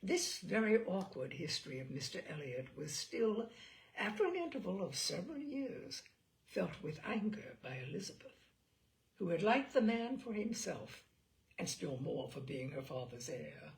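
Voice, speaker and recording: A woman narrating a literary novel in an expressive, measured storytelling manner with deliberate pauses. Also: medium pitch, gravelly, rough recording. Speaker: audiobook narrator.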